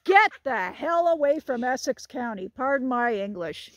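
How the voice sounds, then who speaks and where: A woman's voice: high voice, lively intonation, normal speed, no echo, studio quality. Chatty woman in her sixties hiking. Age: 60s. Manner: chatty, jokey, laughs often. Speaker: vlogger hiking with a group. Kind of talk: casual talk to camera. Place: outdoors on a wooded hilltop trail. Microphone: phone held while walking.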